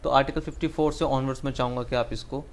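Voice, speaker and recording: A man's voice: medium pitch, steady tone, rapid-fire, a little hiss or hum. A man with a Pakistani accent hosting a conversational interview, deferential and mixing English into his speech. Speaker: host and interviewer, a lawyer.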